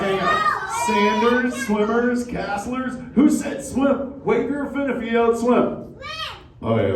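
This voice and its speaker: A man's voice: very high voice, full character voice. An actor voicing a laid-back male sea turtle dad who talks in surfer slang, drawling "dude" and "bro" to a live crowd. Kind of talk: live interactive show with a crowd. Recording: roomy echo, distant mic, crowd noise.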